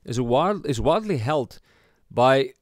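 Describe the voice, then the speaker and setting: A man with a South African accent giving an informal sermon as a pastor, halting as he searches for words. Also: mid-range voice, lively intonation, dry recording, studio quality. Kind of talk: informal sermon, Bible teaching.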